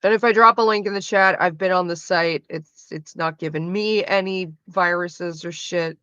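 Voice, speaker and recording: A Canadian woman chatting loosely on a livestream, rambling with lots of swearing. Her voice is bright and forceful. The sound is dull and lo-fi in a dry, dead space. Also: fairly low pitch, steady tone, normal speed, smooth, no room sound. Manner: rambling and profane, loose with frequent fillers. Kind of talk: casual livestream chat with viewers.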